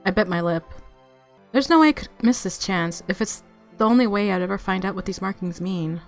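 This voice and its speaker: A woman's voice: mid-range voice, fairly quick, vocal fry. A woman reading a visual novel aloud as a gamer, narrating expressively and adding her own playful reactions. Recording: background music under voice.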